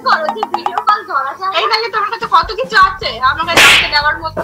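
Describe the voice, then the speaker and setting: A woman's voice: very high-pitched, fast. A young woman chatting casually on the phone, challenging and questioning, often repeating her questions. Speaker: prank call recipient. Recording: phone call under loud music. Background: music.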